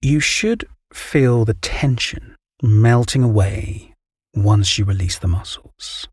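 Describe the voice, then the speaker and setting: A man guiding a relaxation meditation, giving calm, soothing, measured instructions. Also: on the low side, husky, no echo, clean studio sound. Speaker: meditation guide. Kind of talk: guided relaxation meditation, scripted narration.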